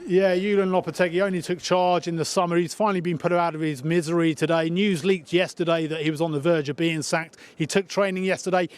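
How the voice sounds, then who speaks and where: Brisk male sports presenter. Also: fairly high pitch, fairly even intonation, smooth. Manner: brisk, matter-of-fact delivery. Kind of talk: scripted broadcast sports bulletin.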